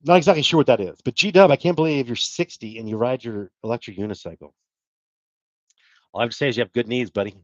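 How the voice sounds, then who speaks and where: A man's voice: mid-range voice, fast talker, dry recording, muffled recording. A middle-aged man hosting a livestream, chatting casually and rambling as he answers viewer comments. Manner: rambling, self-deprecating, with fillers and laughs. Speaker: livestream host.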